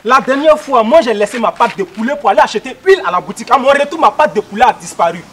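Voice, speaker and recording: A man's voice: extremely high pitch, expressive, fast talker, slight background noise. A man in a comedy sketch, bickering and accusing. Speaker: comic actor.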